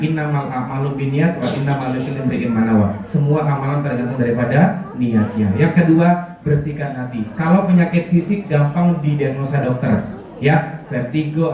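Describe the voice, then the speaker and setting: A man's voice: mid-range voice, mellow, far from the mic. A man with a Javanese accent giving a joking religious parenting talk to parents, often quizzing them with questions. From Kulon Progo, Yogyakarta, Indonesia. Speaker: parenting speaker. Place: school hall. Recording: very echoey hall, voice from afar.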